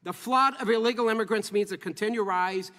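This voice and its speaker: A man's voice: sits high, a little fast, pushed and bright, big-room sound. A politician giving a formal, emphatic speech, firing rhetorical questions at his listeners.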